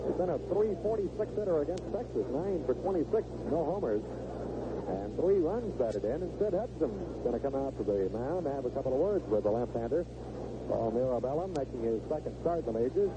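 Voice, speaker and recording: A man's voice: medium pitch, big pitch swings, a little fast. A man doing live 1970s radio play-by-play of a baseball game, describing the action in short clipped phrases. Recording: noisy, narrow-band vintage AM radio broadcast.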